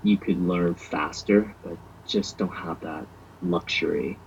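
A man's voice: sits low, fairly even intonation, measured pace, vocal fry, husky, lo-fi sound. An American man chatting as a podcast guest, thinking aloud in a hesitant, thoughtful way with plenty of fillers. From United States. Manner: thoughtful, hesitant, lots of fillers and agreeing murmurs. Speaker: guest, language learner. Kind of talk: casual conversational chat.

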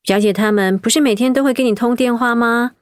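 A woman's voice: on the high side, fairly quick, dry recording, clean studio sound, lightly acted. An actress playing a kind, patient middle-aged mother who tells her daughter a family story and looks after an elderly aunt. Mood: caring. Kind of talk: radio drama acting.

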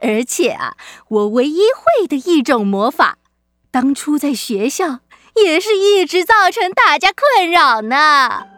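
A woman's voice: high voice, expressive, measured pace, a bit bright, dry recording. A woman voicing a kindly, gushing witch aunt who runs a circus, in a children's radio drama. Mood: proud, chatty. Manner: gushing, chatty, full of exclamations. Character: kindly, bubbly witch aunt, frog-doting circus ringleader.

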